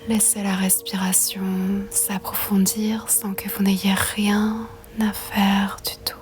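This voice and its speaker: A woman guiding a relaxation meditation in a soft, breathy, half-whispered voice, soothing and gentle. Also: mid-range voice, level tone, takes it slowly. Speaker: yoga nidra guide.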